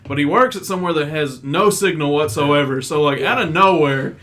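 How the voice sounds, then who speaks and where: Animated young Kentucky man, higher voice. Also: brisk. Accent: Western Kentucky. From Western Kentucky. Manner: animated, acts out what he said. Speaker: podcast co-host. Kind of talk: casual podcast storytelling.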